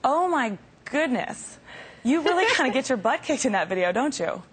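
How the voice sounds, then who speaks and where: A woman hosting a web interview show, friendly and quick to laugh. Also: high voice, sing-song, breathy edge. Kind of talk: hosted interview.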